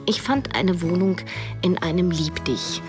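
An actress playing a youngish novelist who narrates a radio drama in a measured, wry, literary way. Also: female voice, fairly low pitch, music in the background, natural voice. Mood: reflective, wry. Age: young adult. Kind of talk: scripted first-person radio drama narration.

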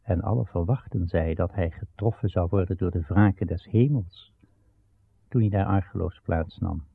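A man's voice: deep voice, normal speed, creaky, breathy edge, dry recording. A man narrating a radio drama as a storyteller, in a solemn, archaic style. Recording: muffled, narrow-band radio recording.

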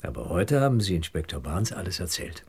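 Husky, low-voiced man under suspicion. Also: sing-song, fairly quick, exaggerated voice. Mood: grim, controlled. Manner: terse, probing questioning. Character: determined, controlled man wrongly suspected of murder, investigating himself. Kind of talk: German radio drama acting.

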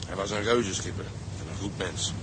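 An actor playing a loyal, seasoned boatswain in a sea drama, with loud engine rumble around him. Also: male voice, brisk, natural voice. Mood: mournful. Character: loyal, seasoned boatswain devoted to his skipper. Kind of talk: dramatic acting.

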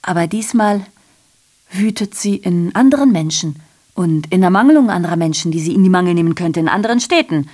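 An actress playing a female messenger in a literary radio drama monologue, recounting atrocity with biting irony in a deliberate, declamatory way. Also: lowish voice, animated, darkish tone. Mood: wry, deliberate.

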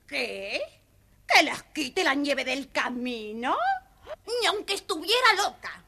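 A woman's voice: high-pitched, sing-song, takes it slowly, vocal fry, background noise, heavily acted. A woman playing a spoiled, rude, demanding young girl, a haughty and whiny stepsister in a children's audio fairy tale. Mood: rude, imperious. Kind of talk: scripted children's audio storybook acting.

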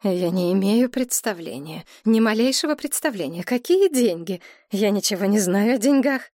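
A frightened, flustered older woman, as a woman reader does her, mumbling softly and lower than the others. She babbles denials, caught out and evasive. The sound is dry and close. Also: lively intonation, moderate pace, no room sound, close to an everyday voice.

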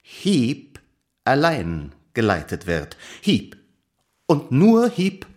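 An actor playing a pompous, righteously indignant gentleman clerk, declaiming floridly in a theatrical radio play. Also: mid-range voice, wildly swooping pitch, drawn-out words, heavily acted. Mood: pompous indignation.

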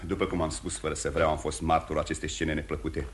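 An actor playing a tactful, reserved prince in a radio play, speaking in a measured, formal and polite way. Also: male voice, rumbling low, flat delivery, a little hiss or hum. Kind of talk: mid-century Romanian radio theatre acting.

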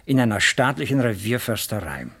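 Husky, defensive factory manager. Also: male voice, mid-range voice, big pitch swings, a little fast, a bit bright, exaggerated voice. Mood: puzzled, matter-of-fact. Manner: hesitant, earnest, slightly defensive. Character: defensive, puzzled East German factory manager. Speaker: actor in a radio crime drama. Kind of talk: German radio crime drama dialogue.

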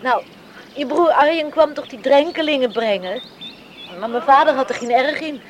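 Village girl, played by an actress: young, high-pitched, chatty, good-humoured, radio drama with faint background noise. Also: moderate pace, natural voice. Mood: confiding. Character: young village woman, good-humoured, evasive about suitors. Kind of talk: Dutch radio play acting.

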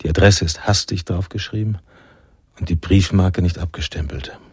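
An actor playing the reflective male narrator of a noir jazz radio play, with a deep, gravelly voice. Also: moderate pace, no echo, clean studio sound. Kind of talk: scripted radio drama narration.

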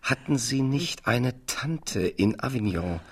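An actor playing a suave, condescending French marquis in a formal, coolly mocking German radio drama style of the seventies. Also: male voice, mid-range voice, expressive, slow pace, breathy edge. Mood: smugly businesslike. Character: smooth, mocking aristocratic French marquis bringing bad news.

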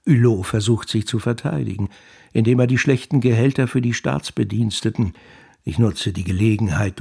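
A wry author narrating his own story in a radio play. The voice is low, creaky and husky, with a reflective, conversational delivery that sounds pleased with itself. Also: dark tone. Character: wry, self-satisfied male novelist musing on his characters. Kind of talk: narrating in a German radio drama.

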